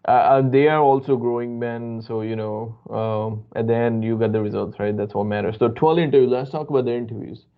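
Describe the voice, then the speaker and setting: A man's voice: mid-range voice, steady tone, a little fast, few pauses. A man interviewing a former student for his training school, upbeat and repeating "perfect" and "right?" often. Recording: tinny phone-like line.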